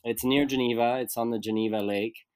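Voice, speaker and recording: A man's voice: medium pitch, steady tone, fairly quick, over a noisy crowd. A man from Switzerland, a guest actor talking through his life in a thoughtful, searching way. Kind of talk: casual conversational interview.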